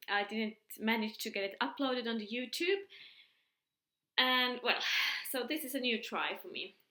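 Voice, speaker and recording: A middle-aged woman speaking English with a Finland-Swedish accent, her voice bright and fairly high. She chats casually and hesitantly, with many fillers, and the room around her is echoey. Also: lively intonation, a little slow. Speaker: podcast host. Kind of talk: casual chat. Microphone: computer mic.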